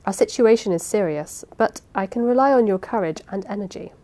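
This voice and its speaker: A woman's voice: medium pitch, animated, a little fast, warm tone, lightly acted. Woman voicing an earnest male scholar.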